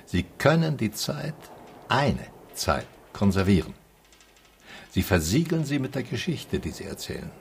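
Philosophical male mentor for radio play; gravelly low voice, animated intonation, deliberate and contemplative. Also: slight background noise, exaggerated voice. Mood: thoughtful. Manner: deliberate, musing, instructive. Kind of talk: naturalistic radio drama acting.

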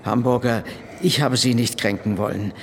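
A man's voice: medium pitch, steady tone, normal speed, creaky, lightly acted. An actor playing an overwrought businessman on the run in a radio play, speaking urgently and cautiously. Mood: nervous, apologetic. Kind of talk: German radio drama acting. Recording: long hall reverb, faint ambient noise.

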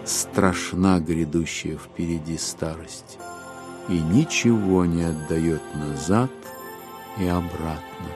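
A man narrating classic literature in a dramatic style for an audio play. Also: low-pitched. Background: music under the narration.

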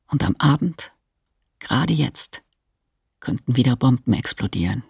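A wistful female wartime chronicler speaks lyrically in a radio drama. Her low voice is very breathy, half-whispered and slow. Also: animated, darkish tone, no room sound, studio quality, heavily acted. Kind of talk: documentary radio drama, lyrical diary-like reading.

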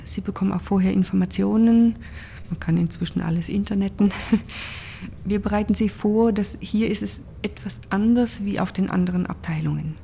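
A woman's voice: mid-range voice, moderate pace, gravelly, dark tone, a little hiss or hum. A woman working in ward care, explaining plainly in an interview and posing rhetorical questions. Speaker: care professional on a hospital ward.

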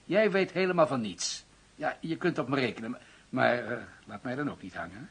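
Radio drama police chief: medium pitch, very husky, measured and official. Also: male voice, a bit bright, full character voice. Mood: confidential, satisfied. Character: composed, scheming police chief defending his man.

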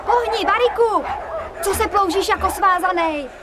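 An actress playing a bossy, sharp-tongued housemaid who nags in colloquial speech in a radio drama. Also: female voice, very high voice, over a noisy crowd, very noisy. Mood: scolding. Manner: colloquial, nagging.

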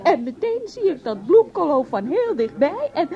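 A woman's voice: high-pitched, very animated, moderate pace, muffled recording. A woman playing an emotional, rambling woman who confides her feelings in a radio drama. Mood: giddy, dreamy. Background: music.

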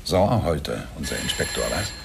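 Deep, creaky-voiced policeman. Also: very animated, moderate pace, noisy background, exaggerated voice. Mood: dry. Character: wry male police officer, a subordinate. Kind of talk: German radio crime drama acting.

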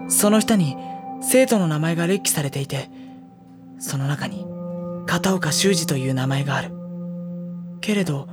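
An actor playing a reserved high school boy in an audio drama, narrating and talking in a polite, dry, exasperated manner. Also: normal speed, pauses often, music in the background, natural voice. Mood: pensive, uneasy.

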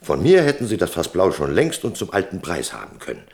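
A male actor in a German radio play playing a gruff, worn-out merchant who grumbles and justifies himself. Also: low voice, wildly swooping pitch, brisk, darkish tone, full character voice. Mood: exasperated. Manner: exasperated, self-justifying.

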